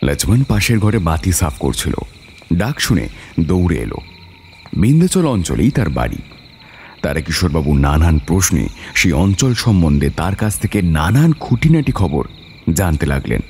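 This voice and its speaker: A man's voice: sits low, very animated, moderate pace, a little mellow, a little hiss or hum. A man reading a scripted story as its narrator, with dramatic delivery.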